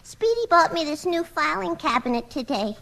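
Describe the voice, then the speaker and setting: A scatterbrained radio-comedy secretary, airy and very high. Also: female voice, very animated, normal speed, gravelly, fairly bright tone, full character voice. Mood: ditzy. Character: ditzy young female office secretary.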